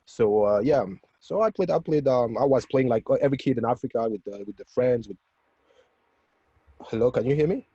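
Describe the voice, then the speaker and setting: A man from Cameroon telling his life story as an interview guest, in a halting, self-correcting way, on dull webinar audio. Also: mid-range voice, animated, dark tone, slightly rough, no room sound. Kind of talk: autobiographical talk in a webinar interview.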